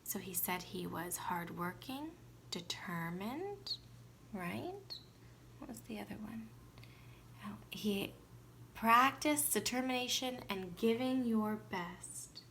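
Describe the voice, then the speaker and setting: Expressive, slow-paced woman reading a storybook. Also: mid-range voice, slight background noise. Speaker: teacher reading aloud. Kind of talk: expressive storybook read-aloud with asides to children.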